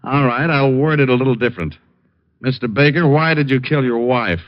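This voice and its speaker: A man's voice: mid-range voice, fairly quick, dry recording, natural voice. A man playing a wisecracking private detective in a 1940s radio drama, coolly questioning suspects with a dry, easy manner. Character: wisecracking male private eye, adult, cool and cocky. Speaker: private detective Richard Diamond. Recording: dull lo-fi old radio recording.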